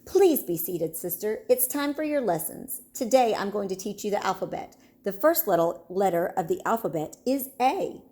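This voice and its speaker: A woman voicing a bossy, braggy, lively six-year-old girl bear cub in a children's storybook. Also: medium pitch, moderate pace, few pauses, close to an everyday voice.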